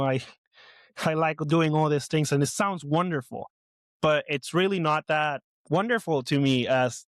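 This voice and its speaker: A man's voice: on the high side, no echo. A man in his twenties from Puerto Rico, hosting his own podcast in a rambling, nervous way with many fillers and restarts. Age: 20s. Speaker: podcast host. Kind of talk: casual personal monologue.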